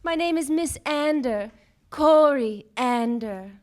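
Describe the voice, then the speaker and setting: A woman acts out an ingenuous young dancer in a 1940s radio drama. She speaks in a high voice, earnest and naive, as a worried client would. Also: expressive, slow, a little mellow. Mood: demure, earnest. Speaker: actor playing the client. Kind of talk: 1940s radio play acting. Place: theatre stage before a studio audience.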